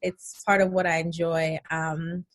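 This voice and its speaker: A woman's voice: sits low, flat delivery, a little slow. A middle-aged woman from Nigeria, born in England, chatting casually and telling stories as an interview guest. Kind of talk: casual conversational storytelling.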